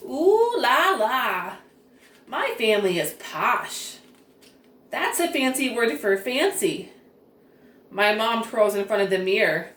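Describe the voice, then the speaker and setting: Lady reading a kids book out loud, real slow and expressive, echoey room, mic kinda far away. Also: fairly high pitch. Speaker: children's story reader. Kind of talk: expressive storybook read-aloud. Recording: roomy echo, distant microphone.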